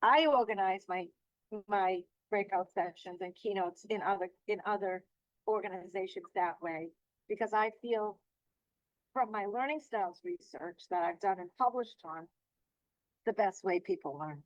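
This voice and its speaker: A woman's voice: mid-range voice, normal speed, dry recording. A woman who is an association president and keynote speaker, talking earnestly and emphatically in a testimonial interview. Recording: dry, slightly dull recording.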